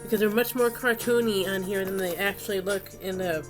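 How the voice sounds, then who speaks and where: A woman's voice: mid-range voice, a little fast, over music. A woman with a stuffy, congested voice, hosting a casual craft video with rambling, unscripted narration as she works.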